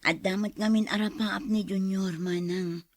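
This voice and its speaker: A woman performing a poor younger sister who pleads worriedly for help. Her voice sits in a middle pitch. It is recorded dry and close. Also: steady tone, moderate pace, dry recording, natural voice. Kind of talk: radio drama acting.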